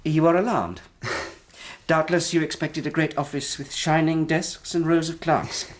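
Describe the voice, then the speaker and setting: A man acting in a period radio drama, playing a hearty, persuasive company recruiter and smooth swindler, with a British accent and grandiloquent manner. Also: on the high side, fairly even intonation, measured pace, a little hiss or hum, natural voice. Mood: reassuring, businesslike. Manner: effusive, theatrical, grandiloquent.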